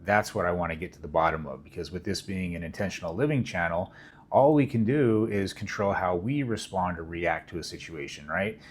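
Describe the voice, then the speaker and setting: A middle-aged man with a fairly low voice speaks directly to viewers. He explains things in a measured, earnest way, as a pilot would in a commentary, and often puts questions to the listener. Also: slightly rough. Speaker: vlogger and airline pilot.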